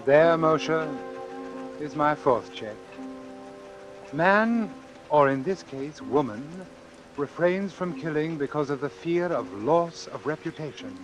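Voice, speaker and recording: An actor playing a calculating, formal doctor who deliberately and persuasively arranges his own death in an old-time radio suspense drama. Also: fairly high pitch, lively intonation, lo-fi sound, over music. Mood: brooding, deliberate. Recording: hissy vintage radio recording with rain. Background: hiss and falling rain.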